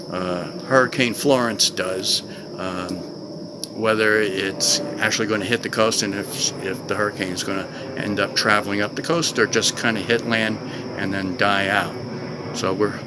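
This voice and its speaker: Low-voiced older man chatting outdoors. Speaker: vlogger. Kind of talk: casual product review to camera. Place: outdoors in a wooded clearing. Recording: noisy outdoor recording with insect ambience.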